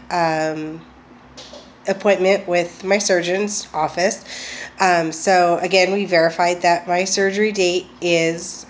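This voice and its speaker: Lady talking to her camera at home, kinda low voice, lots of ums, just getting over a cold. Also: a little hiss or hum. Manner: chatty, hesitant, many ums and restarts. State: recovering from a cold. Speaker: vlogger. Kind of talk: casual personal video diary.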